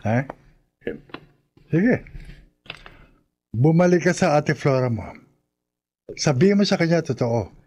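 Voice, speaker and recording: An actor playing a menacing, commanding killer husband in a Filipino radio horror drama, with a husky voice. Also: male voice, on the high side, expressive, slow, long pauses, darkish tone, exaggerated voice. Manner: threatening, commanding. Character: menacing killer husband threatening his young brother-in-law.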